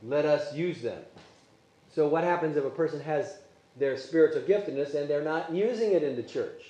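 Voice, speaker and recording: A man's voice: on the high side, normal speed, dark tone. A man teaching a Sunday school Bible class, asking the class questions as he goes, with Polish as his first language. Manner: conversational, asks the class questions. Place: church classroom. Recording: echoey room.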